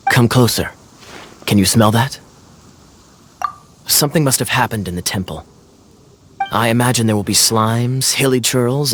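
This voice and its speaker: Man playing a suave, lowish-voiced knight captain. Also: a little hiss or hum, natural voice. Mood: wry, confident. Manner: smooth-talking, teasing, composed. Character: suave, self-assured young male knight captain. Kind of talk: video game voice acting. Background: music.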